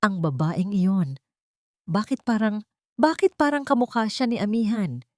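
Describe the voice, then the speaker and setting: A woman with a Filipino accent voicing an anxious, domineering older society mother in an audiobook, hushed and urgent. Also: mid-range voice, expressive, a little slow, dry recording, studio quality, voice pushed up, lightly acted. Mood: shaken.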